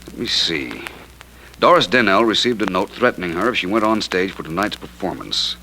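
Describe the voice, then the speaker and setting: A slightly noisy vintage radio recording of a suave gentleman detective. His bright, forward voice moves with lively inflection, yet he stays urbane, dry and unruffled. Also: deep voice, brisk, vocal fry, heavily acted. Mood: calm, measured. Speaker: lead actor in a detective radio drama. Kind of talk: 1940s radio acting.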